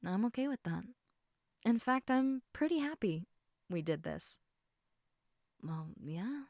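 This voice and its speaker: A woman voicing an affectionate, teasing half-snake lamia in a casual, intimate audio roleplay, chatting informally with halting, trailing thoughts. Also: fairly low pitch, smooth, no echo, studio quality, natural voice.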